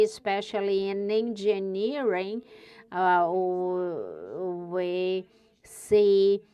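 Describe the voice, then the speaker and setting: Woman, European Portuguese accent, researcher at a conference podium, smooth voice, unhurried delivery. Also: medium pitch, a little mellow. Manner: careful, searching for words. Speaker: guest speaker, university researcher. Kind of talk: conference presentation.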